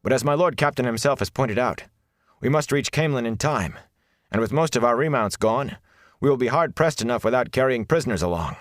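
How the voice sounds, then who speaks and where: A man's voice: medium pitch, fairly quick, dry recording, clean studio sound, voice pushed up, natural voice. A man voicing a merciless, zealous soldier in an audiobook, hard, rigid and formal in manner. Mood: contrite, insistent. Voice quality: flat, hard. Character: zealous, merciless male soldier, outwardly deferential.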